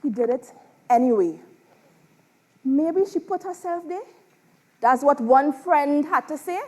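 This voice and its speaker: Woman, Trinidadian accent, very high voice, sweeping pitch, dramatic spoken word, emphatic delivery. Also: animated, darkish tone. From Trinidad and Tobago. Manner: theatrical, emphatic, deliberate pauses. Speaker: spoken word poetry contestant. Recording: live stage performance.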